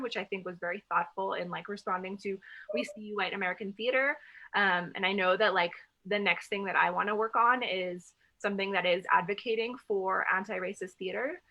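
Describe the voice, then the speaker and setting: A woman's voice: medium pitch, pushed and bright, rough recording. A woman from Lebanon who grew up in Dubai, introducing herself casually on a group video call in a chatty way full of "like". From Lebanese, grew up in Dubai, UAE. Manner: informal, chatty, frequent "like". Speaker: new staff member of a theater organization, director.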